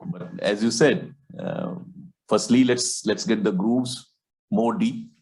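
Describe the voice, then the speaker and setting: A man with a fairly low voice hosts an online support meeting over a video call. Punjabi is his first tongue, and his comes slowly and thoughtfully, full of pauses, fillers and restarts. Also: darkish tone, poor audio. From India. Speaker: support group host.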